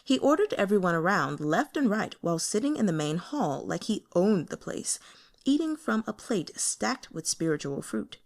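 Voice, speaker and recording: A woman's voice: medium pitch, big pitch swings, normal speed. A woman reading fiction aloud as an audiobook narrator in a scripted storytelling style.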